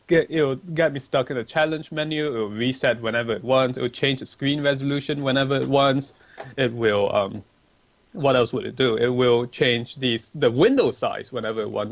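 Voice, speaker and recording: A man's voice: mid-range voice, normal speed, dry recording. Chatty young man answering viewers. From Malaysia. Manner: chatty and rambling, reads chat questions aloud. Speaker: livestream host. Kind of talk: casual stream chat, answering viewer questions.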